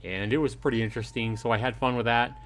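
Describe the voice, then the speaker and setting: A man's voice: mid-range voice, fairly even intonation, fast, a bit bright. A man hosting a casual livestream, chatting informally and in a friendly way, addressing viewers by name. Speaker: livestream host. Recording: clearly noisy home livestream.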